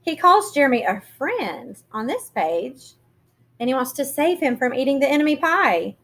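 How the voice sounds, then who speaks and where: Woman, children's read-aloud, higher-pitched, very bright tone, expressive, teacherly storyteller. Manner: expressive, teacherly, pausing to question young listeners. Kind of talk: children's picture book read-aloud with comprehension questions.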